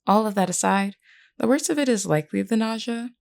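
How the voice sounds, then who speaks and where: A woman voicing a gentle, candid vampire queen in an audio roleplay, speaking in a measured, reassuring way with formal wording to one listener. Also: mid-range voice, creaky, close to an everyday voice. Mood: matter-of-fact, sympathetic.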